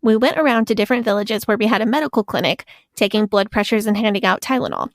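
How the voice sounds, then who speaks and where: A woman's voice: medium pitch, animated, fast, dry recording. A woman hosting a podcast, chatting earnestly and reading a listener story aloud on a close mic. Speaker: podcast host. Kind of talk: casual podcast chat.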